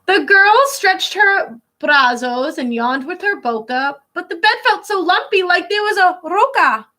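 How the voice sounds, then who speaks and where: Female schoolteacher for picture-book read-aloud; bright tone, unhurried delivery, teacherly explanatory asides. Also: very high voice, lively intonation. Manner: teacherly, stops to translate and explain words simply. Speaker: elementary school teacher reading aloud to pupils.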